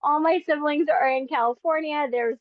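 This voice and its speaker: A woman's voice: high voice, normal speed, no room sound, narrow, tinny audio. A woman in her forties from the Philippines, sharing her faith testimony as a podcast guest in earnest, chatty talk. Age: 40s. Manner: earnest, chatty, many fillers. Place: home in Las Vegas.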